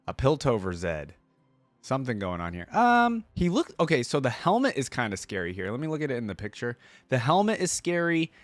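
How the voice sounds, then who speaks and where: A man's voice: mid-range voice, huge pitch swings, fairly quick, dry recording. A man hosting a reaction video, giving informal, exclamatory commentary as he thinks aloud.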